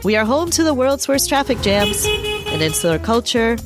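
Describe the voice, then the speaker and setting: A woman's voice: high voice, very animated, moderate pace. A woman from Peru reading scripted narration for a podcast trailer as its host. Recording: music bed under voice. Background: music.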